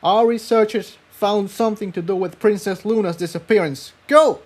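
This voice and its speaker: A man's voice: high-pitched, moderate pace, voice pushed up. A man voicing a panicked armored pony soldier making an urgent, desperate plea in a story reading.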